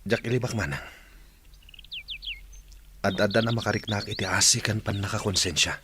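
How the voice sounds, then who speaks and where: A man's voice: on the low side, normal speed, pushed and bright. A man playing a troubled, conscience-stricken fighter in an Ilocano radio drama. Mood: troubled, confiding. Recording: noisy, with chatter and birdsong.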